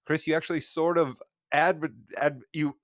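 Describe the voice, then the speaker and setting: A man's voice: a little high, fairly even intonation, slow, no echo, clean studio sound. A man co-hosting a casual sports podcast, rambling with jokey, self-deprecating asides. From Northwest Indiana. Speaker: podcast co-host.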